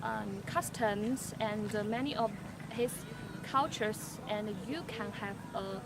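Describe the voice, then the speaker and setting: A young woman, a native Chinese speaker, giving slow, careful answers with many fillers. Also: mid-range voice, gravelly. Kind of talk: prepared answers for a language project. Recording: street noise behind.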